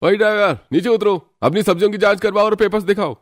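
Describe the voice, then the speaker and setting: A man's voice: high-pitched, fast, dry recording, close to an everyday voice. A man voicing a greedy, bullying fake policeman in a cartoon story, bossy and threatening. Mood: barking, threatening.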